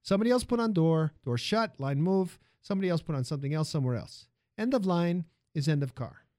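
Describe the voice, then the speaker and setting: An excitable little immigrant factory hand, as a male narrator plays him, with a high, swooping delivery. He speaks emphatic broken English in an audiobook story, tireless and eager, in a dry close recording. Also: fast talker, dry recording, close to an everyday voice. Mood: excited, insistent. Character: small wiry Italian-accented immigrant factory worker, tireless and excitable.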